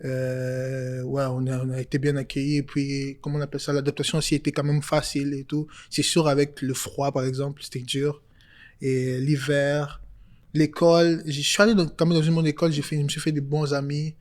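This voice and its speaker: A man in his twenties from Congo, talking casually as an interview guest, relaxed and hesitant with many fillers. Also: fairly high pitch, fairly quick. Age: 20s.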